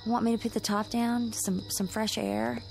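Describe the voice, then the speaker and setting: An actress playing a tipsy young New York wife in a radio drama, placating and easily awed. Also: female voice, on the high side, steady tone, normal speed, a little mellow, faint music behind, natural voice, rough recording. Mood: soothing, awed.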